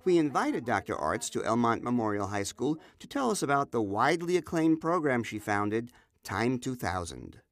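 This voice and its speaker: Lively voice-over narrator. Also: male voice, medium pitch, normal speed, dry recording. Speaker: reporter. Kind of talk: scripted narration.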